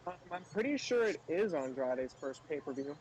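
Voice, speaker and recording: A man's voice: fairly high pitch, big pitch swings, moderate pace, a little mellow, a little hiss or hum, rough recording. A man in a casual sports discussion as a podcast panelist.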